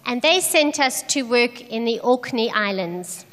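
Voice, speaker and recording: A middle-aged South African woman giving a church address in short, simple phrases, pausing for an interpreter. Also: on the high side, lively intonation, a bit bright. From South Africa, Johannesburg. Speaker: guest speaker, charity program director. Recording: echoey hall with long reverb.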